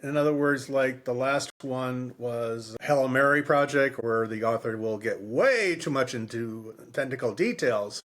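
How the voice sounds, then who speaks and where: Man, medium-pitched voice, podcast co-host, casual discussion, rambling, often restarting phrases. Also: measured pace, hardly any pauses, a bit bright.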